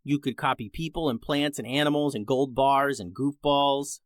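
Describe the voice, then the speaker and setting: A man's voice: medium pitch, normal speed, no echo, studio quality. A man hosting a children's story podcast, reading scripted narration.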